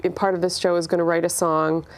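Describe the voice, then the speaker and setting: Low-pitched young Canadian woman chatting. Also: level tone, quick pace. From Canada, born in Ireland. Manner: informal, thinking aloud. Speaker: interview guest, singer-songwriter. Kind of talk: casual chat.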